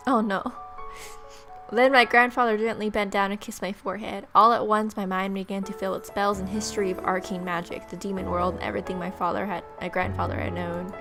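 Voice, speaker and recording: A woman gameplay host reading a visual novel aloud as expressive narration, with casual asides. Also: mid-range voice, a little fast, music in the background.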